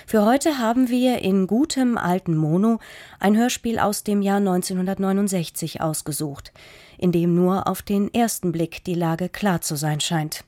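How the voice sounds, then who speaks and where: A soft-toned, mellow woman speaks in a very dry studio. She presents on radio, reading a scripted introduction with composed, polished delivery at a medium pitch. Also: normal speed, no room sound.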